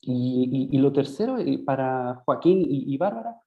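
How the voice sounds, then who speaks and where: Chilean man, discussant, halting delivery with fillers, dull lo-fi call audio. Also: mid-range voice, expressive. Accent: Chilean Spanish. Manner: hesitant, many fillers and restarts. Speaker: conference discussant. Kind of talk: academic Q&A comments.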